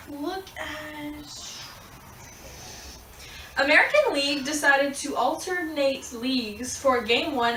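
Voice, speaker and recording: A woman playing a clueless, hesitant 1920s radio sports reporter with an awkward, halting delivery. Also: high-pitched, pushed and bright, exaggerated voice. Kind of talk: amateur 1920s radio show acting. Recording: echoey room, distant mic, faint noise.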